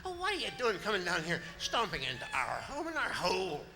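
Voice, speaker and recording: A man voicing a flustered, indignant rabbit who protests in a quick-tempered way. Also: high-pitched, wildly swooping pitch, voice pushed up, exaggerated voice. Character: flustered, indignant long-eared rabbit defending its home. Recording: long hall reverb, loud music behind.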